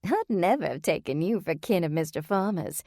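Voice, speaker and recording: A woman's voice: fairly low pitch, expressive, moderate pace, warm tone, no room sound, clean studio sound. A woman voicing a coquettish, teasing office secretary with a drawling manner.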